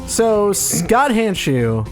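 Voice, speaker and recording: A man's voice: high voice, big pitch swings, very slow, music in the background. A man co-hosting a comedy podcast, talking in casual banter.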